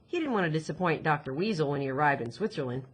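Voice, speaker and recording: Expressive, husky woman reading a story. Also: low voice, fast. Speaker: audiobook reader, teacher. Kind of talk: expressive scripted story narration.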